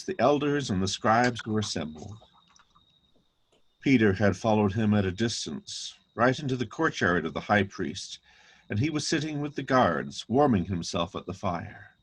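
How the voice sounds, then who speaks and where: Male narrator for a scripture reading, lowish register, raspy tone. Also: lively intonation, unhurried, poor audio.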